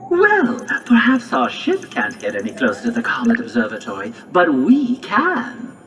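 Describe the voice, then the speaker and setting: A woman voicing a theatrical, brash and excitable hero creature in a video game, with dramatic, boastful, wordy delivery. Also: sits low, normal speed, distant mic, faint music behind, exaggerated voice. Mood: determined. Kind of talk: scripted cartoonish game cutscene acting.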